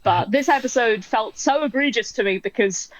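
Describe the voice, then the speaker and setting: A woman's voice: a little high, moderate pace, muffled recording. A woman co-hosting a podcast in casual chat, talking in a chatty, wry way with plenty of fillers. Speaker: podcast co-host.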